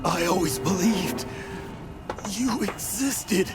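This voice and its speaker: A man voicing an earnest, emotional adventurer in a video game, speaking in a halting, heartfelt way. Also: high-pitched, over loud music. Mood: awestruck.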